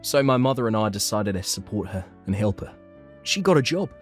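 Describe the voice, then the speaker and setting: Male actor as earnest young salaryman, low-pitched, anxious first-person manga narration, music underneath. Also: expressive, brisk, close to an everyday voice. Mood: narrating, sympathetic.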